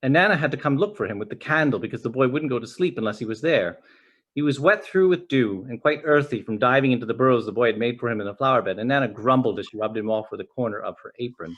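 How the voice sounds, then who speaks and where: A man reading a children's story aloud as an expressive narrator. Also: mid-range voice, fast talker, muffled recording. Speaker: storybook reader.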